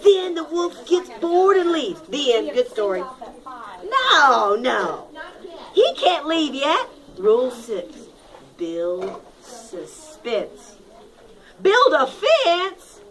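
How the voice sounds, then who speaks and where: A woman teacher reading a picture book aloud to her class in an expressive, dramatic manner, leaning on key words and prompting children to repeat. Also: sits high, very slow, a crowd in the background. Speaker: primary school teacher.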